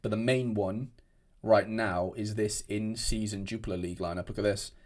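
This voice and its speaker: A man's voice: lowish voice, steady tone, normal speed, a little mellow. Chatty young Welsh-raised man streaming. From Wales. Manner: chatty, rambling, frequent restarts and fillers. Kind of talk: casual livestream chat reading viewer comments. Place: indoor home room at a desk.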